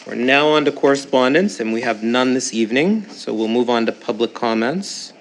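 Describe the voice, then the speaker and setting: A man chairing a town council meeting, reading motions briskly and joking lightly with the room. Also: mid-range voice, slight background noise. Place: council chamber.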